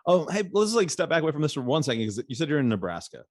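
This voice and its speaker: A man's voice: a little high, big pitch swings, very fast, no echo, studio quality. A man hosting a podcast, talking in a loose, rambling way and laughing often. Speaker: podcast host. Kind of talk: casual interview chat.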